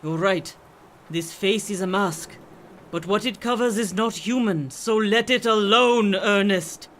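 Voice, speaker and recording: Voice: mid-range voice, measured pace, fairly bright tone, a little hiss or hum, close to an everyday voice. An actor in a radio play playing a mysterious veiled swami who tells strange tales in a grave, formal, portentous manner. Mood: urgent warning.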